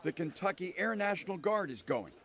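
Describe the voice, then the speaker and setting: A man's voice: high-pitched, big pitch swings, brisk, fairly bright tone, a little hiss or hum. A man with an American accent narrating a scripted network news report as a correspondent.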